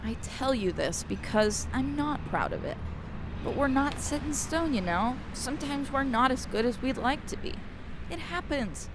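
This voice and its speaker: A woman's voice: high voice, animated, moderate pace, noisy background. A woman playing a blunt, wry shipboard snoop with a kind streak, speaking dryly in a sci-fi radio drama. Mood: dry, consoling. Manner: dry, sighing, matter-of-fact.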